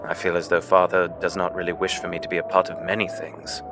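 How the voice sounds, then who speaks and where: An actor playing an insecure, bashful blind prince of the gods in an audio drama, stammering and hesitant. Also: male voice, sits low, little pitch movement, quick pace, loud music behind the voice, close to an everyday voice. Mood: downcast, unsure.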